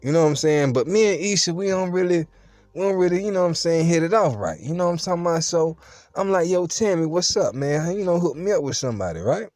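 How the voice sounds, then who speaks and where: A young man telling a true story straight to the camera in a casual, slangy, animated way. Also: a little high, fast, no echo, faint music behind.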